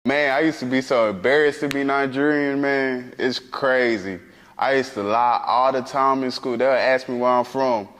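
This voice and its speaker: A man's voice: medium pitch, fairly even intonation, moderate pace, a bit bright, slight background noise. Laid-back man in a reverberant space. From United States. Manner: laid-back, laughing storyteller. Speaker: interviewee. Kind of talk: casual storytelling.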